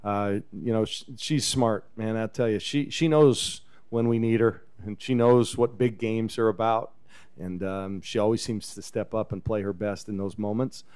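A man in his fifties fields questions after a game, loose and conversational. His voice comes through smooth and resonant on a table microphone in a press room. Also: on the low side, normal speed. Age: 50s. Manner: relaxed, chatty, chuckles often. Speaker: head basketball coach. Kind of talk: post-game press conference. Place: press conference room with backdrop. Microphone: table microphone on a stand.

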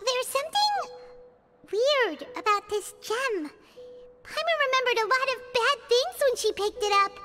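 A woman voicing a tiny, excitable, greedy fairy girl with a squeaky childlike voice in a video game. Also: moderate pace. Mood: uneasy. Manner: refers to self in third person, exclamatory. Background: music.

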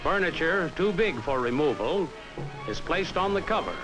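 Animated 1950s American training-film narrator, male. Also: a little high, moderate pace, low-quality recording. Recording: vintage 1950s film soundtrack. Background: background music.

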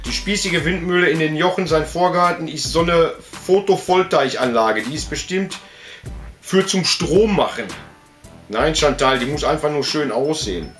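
Middle-aged man, somewhat higher voice, casual show-and-tell host, chatty and meandering, faint music behind. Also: normal speed, fairly bright tone. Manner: chatty, colloquial, with frequent tag questions. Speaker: collector and video host. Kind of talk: casual rambling show-and-tell, reading out bits of books. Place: indoor room full of collectibles.

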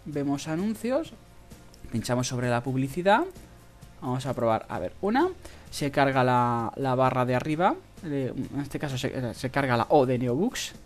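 A speaker with a Spain Spanish accent giving a casual screen-recorded tutorial, in a halting, hesitant way with drawn-out pauses. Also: mid-range voice. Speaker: video tutorial host. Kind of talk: casual screen-recorded tutorial about earning money online. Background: background music.

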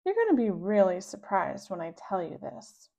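A woman's voice: mid-range voice, sing-song, moderate pace, dark tone. A woman voicing a bossy older sister who teases in a conspiratorial way. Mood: scheming. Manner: conspiratorial, teasing.

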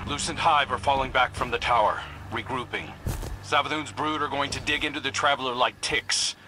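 A man's voice: medium pitch, brisk, background noise, natural voice. A man voicing an earnest young space-soldier scout in a video game, speaking with urgency. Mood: alert, grim.

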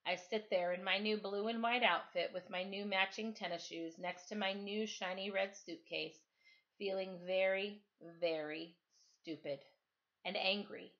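A middle-aged woman speaks as a teacher to her young listeners. Her delivery is expressive, with a mid-range voice. The sound is slightly dull, like a simple device microphone in a living room. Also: medium pitch, measured pace. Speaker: teacher reading aloud to pupils. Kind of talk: storytime reading of a novel, then informal address to pupils. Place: living room at home, on a couch.

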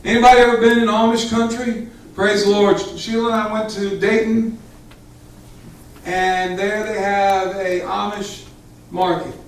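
Fervent high-pitched male preacher in echoey hall. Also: level tone, unhurried. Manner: fervent, emphatic, rising to shouts, addressing congregation directly. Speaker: pastor preaching. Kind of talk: Pentecostal sermon. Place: church sanctuary with small congregation. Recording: echoey church hall, far from camera.

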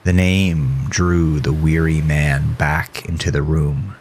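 A man's voice: rumbling low, steady tone, takes it slowly, a little hiss or hum. A man reading scripted, dramatic horror narration as a storyteller.

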